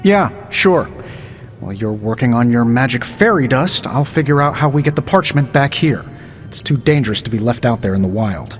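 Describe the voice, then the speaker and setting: A man's voice: medium pitch, animated, brisk, natural voice. A man playing a cocky, impatient inventor superhero in a video game, with a glib, sarcastic way of talking. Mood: irritated sarcasm. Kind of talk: scripted video game acting. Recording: slightly dull band, clear music behind.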